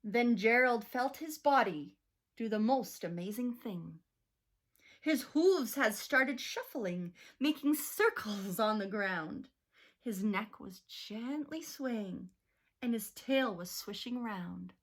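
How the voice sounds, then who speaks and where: Slow, expressive woman reading to children. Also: on the high side. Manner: slow, expressive, rhythmic rhyming delivery. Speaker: teacher. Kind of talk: picture book read-aloud.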